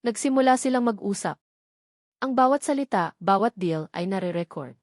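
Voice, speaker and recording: A woman's voice: medium pitch, lively intonation, normal speed, darkish tone, no room sound, clean studio sound. A woman reading a scripted first-person dramatic story as narrator.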